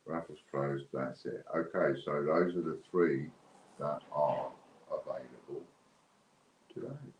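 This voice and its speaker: Very deep-voiced British man, echoey room. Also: animated, slow pace. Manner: rambling, unscripted, thinking aloud. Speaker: host of an online raffle and giveaway stream. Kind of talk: casual livestream chat to viewers. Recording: roomy echo with faint background noise.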